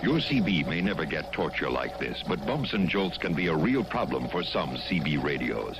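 A man's voice: a bass voice, big pitch swings, normal speed, over music. A man with an American accent narrating a scripted 1970s television commercial as its announcer. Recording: noisy vintage TV ad soundtrack.

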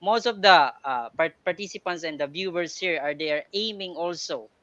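A man's voice: fairly high pitch, normal speed, sharp tone, no room sound. A man hosts a live orientation through a headset on a video call. His voice is dry and a little dull, and he speaks politely, thanking guests often. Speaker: host of the orientation. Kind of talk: hosting a live online orientation.

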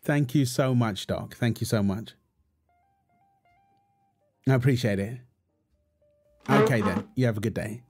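A man playing a gentle, reassuring young father and husband in an intimate audio roleplay, talking in a soothing, affectionate, casual way. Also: medium pitch, unhurried, pauses often, husky, soft background music. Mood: polite, relieved. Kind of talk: intimate comfort roleplay, casual one-sided dialogue.

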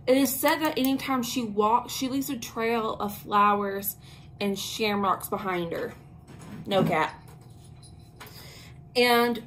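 A woman's voice: on the high side, a little hiss or hum, rough recording. A young woman hosting a casual witchcraft talk, speaking informally to camera with frequent ums and slangy asides. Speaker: host of a witchcraft talk channel. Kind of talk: casual spoken lesson to camera. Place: small bedroom with tapestries. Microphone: camera at arm's length.

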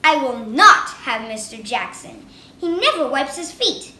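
A very high, bright little voice for a house-proud storybook mouse lady, prim and quick to scold, as a woman reads her. Her pitch swoops about and the words come out slow and careful. The room is echoey with a faint hiss. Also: sing-song, exaggerated voice. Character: fussy, tidy little female woodmouse.

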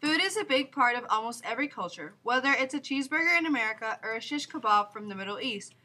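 A young woman student reporter reading a scripted news segment with even, read-aloud pacing. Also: a little high, quick pace, slight background noise.

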